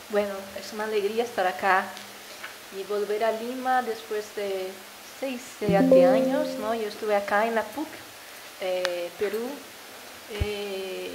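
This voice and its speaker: A woman's voice: medium pitch, background noise. A woman from Brazil whose first language is Portuguese, speaking Spanish with a Brazilian accent in a hesitant academic talk full of fillers and tag questions. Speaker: invited speaker at a university panel.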